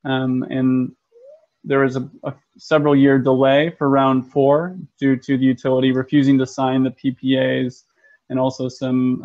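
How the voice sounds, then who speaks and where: An American man, an energy consultant, giving a webinar presentation in a measured way with frequent ums and restarts. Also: mid-range voice, creaky, a little mellow. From United States. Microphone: video call. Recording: muffled lo-fi web call audio.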